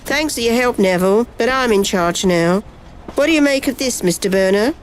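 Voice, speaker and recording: A woman playing a brisk, secretive, commanding FBI agent in an amateur radio play. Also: fairly high pitch, animated, close to an everyday voice, rough recording. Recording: noticeable background noise.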